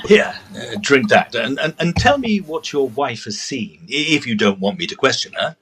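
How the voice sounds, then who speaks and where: A man playing a brisk, sceptical gentleman ghost investigator who narrates a period audio drama with dry pomposity. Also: mid-range voice, big pitch swings, slight background noise. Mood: inquisitive.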